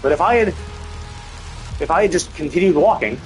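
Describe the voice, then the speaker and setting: A man's voice: a little high, lively intonation, a little fast, soft background music, rough recording. A man giving casual, blunt commentary as a live game streamer, talking in a sweary, venting way.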